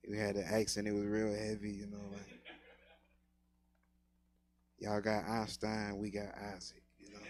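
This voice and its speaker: A man hosts a comedy night from the stage, his voice sitting low. He talks in loose, slangy banter, and a faint hum runs underneath. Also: darkish tone. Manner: casual slangy street talk, drawling. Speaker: comedy show host. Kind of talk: stand-up hosting banter.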